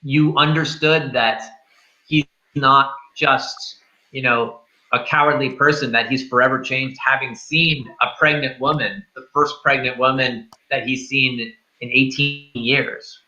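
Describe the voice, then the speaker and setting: A man's voice: mid-range voice, steady tone, measured pace, sharp tone, dull audio. A man in a casual film discussion, rambling and thinking aloud as he reviews. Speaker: host of a film review show.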